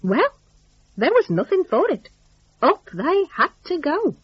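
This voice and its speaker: A woman's voice: sits high, huge pitch swings, measured pace, dry recording, lo-fi sound. A woman telling a story dramatically, in a folksy, conversational rustic idiom.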